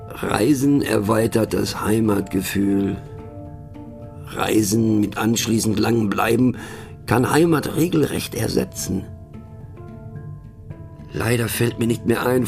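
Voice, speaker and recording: An actor playing a pensive, mocking narrator in a radio play, musing rhetorically on abstract words and addressing the listener in wry asides. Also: male voice, fairly low pitch, slow pace, gravelly, long pauses, a little mellow, soft background music.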